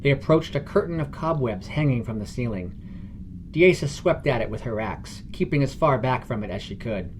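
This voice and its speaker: A man's voice: medium pitch, lively intonation, moderate pace, slight background noise, poor audio. A man reading scripted narration for a fantasy adventure podcast.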